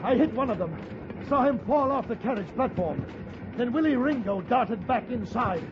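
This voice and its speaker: A man playing a brave, composed gentleman adventurer in a 1950s radio western, clipped and resolute. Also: extremely high pitch, animated, normal speed. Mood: tense narration. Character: brave, composed gentleman adventurer narrating his own tale. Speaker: lead actor and narrator. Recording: dull, very noisy old radio recording.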